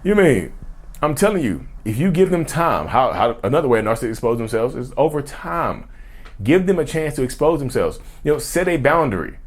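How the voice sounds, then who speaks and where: A man's voice: medium pitch, very animated, brisk. A man hosting a casual vlog rant, emphatic and slangy, who keeps addressing his viewers directly. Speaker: YouTube host.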